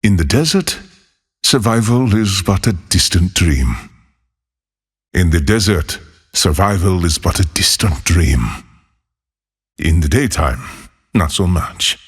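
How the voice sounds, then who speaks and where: An actor playing a solitary, haunted former monk turned lawless desert wanderer in a video game, speaking in a solemn, measured, husky voice. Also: male voice, deep voice, lively intonation, exaggerated voice. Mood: weary, reflective. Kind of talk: scripted game character voicelines.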